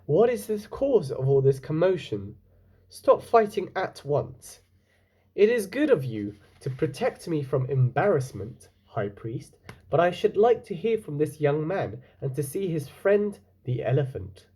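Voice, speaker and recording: A man voicing a gracious female lake goddess, soft and calm, in a storybook read-aloud. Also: breathy edge, heavily acted. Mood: gentle, commanding. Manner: soft, low and calm, measured.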